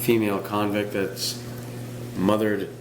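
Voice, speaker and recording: A man with a hoarse, sick-sounding voice, casually narrating footage of his aquarium at home with fillers and restarts. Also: lowish voice, slow, reverberant, noisy background. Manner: relaxed, rambling, with fillers and restarts. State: hoarse from illness. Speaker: aquarium hobbyist channel host.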